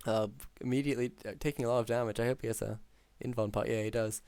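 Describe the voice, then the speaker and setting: A man calling a strategy game match alone, talking through plays with many ums, his voice hoarse after hours of casting. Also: mid-range voice, fast talker, no echo. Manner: steady, filler-heavy analytical play-by-play. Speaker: game caster. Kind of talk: solo esports play-by-play commentary.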